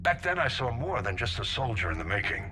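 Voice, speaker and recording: An actor playing a gruff, seasoned older navy admiral in a video game, blunt and authoritative. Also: male voice, mid-range voice, very animated, brisk, pushed and bright, a little hiss or hum. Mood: earnest, rousing. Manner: blunt, authoritative military briefing delivery. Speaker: military admiral. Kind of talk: scripted video game acting.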